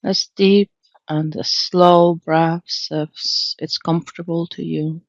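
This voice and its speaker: A woman leading an unscripted guided meditation in a calm, soothing manner, leaving long pauses between phrases. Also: lowish voice, vocal fry, no echo, dull audio.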